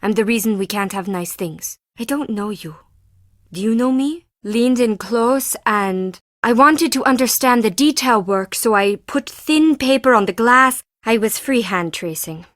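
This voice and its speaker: A woman's voice: on the high side, expressive, measured pace, dry recording, clean studio sound. An actress playing a timid, defensive woman artist and forger in a video game, with intense, theatrical delivery in clipped phrases. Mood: defensive, guarded. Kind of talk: scripted video game character dialogue.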